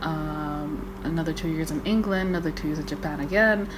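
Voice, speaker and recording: A woman's voice: low-pitched, a little fast, over music, rough recording. Chatty young woman from Malaysia. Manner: chatty, swearing, many fillers. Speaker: podcast co-host. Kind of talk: casual chat.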